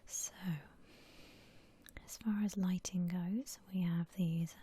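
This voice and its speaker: A woman whispers her way through a game in ASMR style. Her voice is very breathy and almost unvoiced, soft and mellow in tone. She takes her time, relaxed and gentle, with slow phrasing. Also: fairly low pitch. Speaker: video game streamer narrating her building session.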